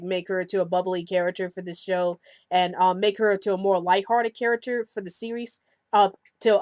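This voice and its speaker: A woman with a mid-pitched voice hosts an informal discussion podcast. She pauses and fills gaps as she gathers her thoughts. The sound is dry, with little room around it. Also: no room sound. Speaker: podcast host.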